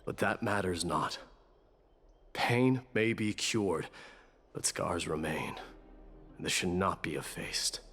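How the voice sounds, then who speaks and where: A man voicing a stern, scholarly warrior in a video game, speaking in a grave, measured and formal way. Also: low-pitched, a bit bright, slightly rough, full character voice. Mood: grave, bitter. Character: solemn, stern, scholarly male warrior with blunt wisdom. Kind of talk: video game voice acting, dramatic dialogue. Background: background music.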